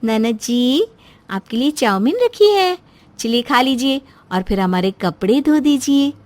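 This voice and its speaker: Woman acting a sly, high-voiced coaxing sister-in-law. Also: animated, a little slow, a little mellow, no room sound. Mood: sugary coaxing. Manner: coaxing, falsely sweet. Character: sly, sugary young sister-in-law manipulating the innocent. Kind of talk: moral story voice acting.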